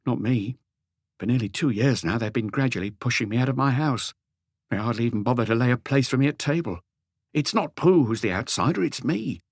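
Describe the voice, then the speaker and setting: A man voicing an anguished, lonely, meek husband in an audiobook, pleading and rambling haltingly through a confession. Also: mid-range voice, expressive, no room sound, clean studio sound. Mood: bitter, hurt. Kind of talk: audiobook character dialogue.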